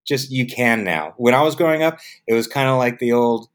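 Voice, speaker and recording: A middle-aged man from the United States, a film producer speaking in a casual interview, telling stories and acting out the voices of people he quotes. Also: a little fast. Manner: animated storyteller, acts out quoted voices with jumps in pitch; repeats words when restarting.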